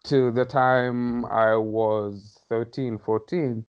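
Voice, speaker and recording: A man's voice: mid-range voice, fairly even intonation, drawn-out words. A man in his thirties from Somalia, a podcast guest thoughtfully recounting his childhood, hedging often and laughing lightly. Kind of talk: reflective personal storytelling. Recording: slightly dull lo-fi audio.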